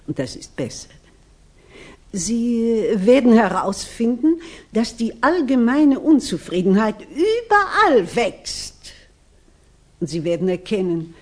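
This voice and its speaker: A woman acting a grand, affected salon lady in a 1960s German radio play, imperious and halting in her theatrical delivery. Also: mid-range voice, big pitch swings, a little mellow. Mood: haughty, musing.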